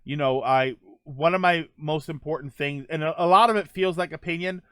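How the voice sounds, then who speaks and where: A man's voice: fairly high pitch, normal speed, fairly bright tone, smooth, no echo, clean studio sound. A middle-aged man hosting a casual podcast, rambling through viewer comments with many fillers and self-corrections. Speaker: podcast host.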